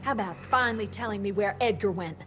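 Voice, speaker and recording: Woman playing a stern doctor over loud music. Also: medium pitch, fast talker, natural voice. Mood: suspicious, commanding. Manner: curt, authoritative. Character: stern, sceptical female doctor, sharp-tongued and commanding. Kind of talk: video game voice acting. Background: loud game soundtrack music.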